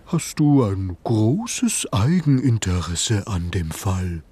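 Man doing a soft slow hippo. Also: on the low side, slightly rough, full character voice. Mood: gentle. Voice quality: soft as suede. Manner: slow, calm, hushed. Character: big gentle hippo, soft-spoken and forgetful.

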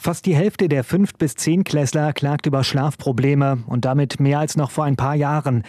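Mellow male news correspondent. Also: a little high, moderate pace, dry recording, studio quality. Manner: steady, scripted delivery. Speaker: radio correspondent. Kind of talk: news report.

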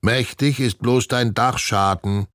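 A man voicing a cheeky, parroting male teacher with a croaky, squawking voice in a children's story. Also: mid-range voice, steady tone, slow, fairly bright tone, no room sound, studio quality, voice pushed up. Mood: mocking. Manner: parroting, insolent.

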